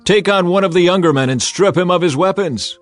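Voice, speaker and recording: A man's voice: high-pitched, brisk, pitched above the performer's own voice, lightly acted. Music plays under a man acting as a battle-hardened army commander in a scripture drama. He calls out with force and urgency, warning and pleading as if across open ground. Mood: urgent warning. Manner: emphatic, projected shouting across a distance.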